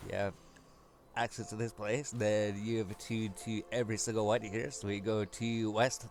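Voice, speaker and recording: A man's voice: on the low side, little pitch movement, moderate pace. A man hosting a gaming video, casually thinking aloud as he gives a walkthrough. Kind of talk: casual game walkthrough commentary. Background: game music.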